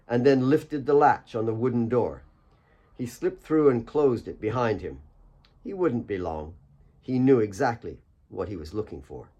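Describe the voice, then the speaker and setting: A man's voice: mid-range voice, a little mellow. A man reading his own fiction as an audiobook, in scripted storytelling narration.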